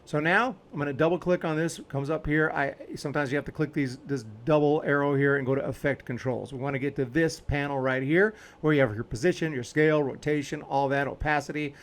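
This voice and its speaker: Casual man with a slightly high voice. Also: quick pace, few pauses. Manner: informal, explains as he clicks along. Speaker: video editing tutorial host. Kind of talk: casual step-by-step screen tutorial.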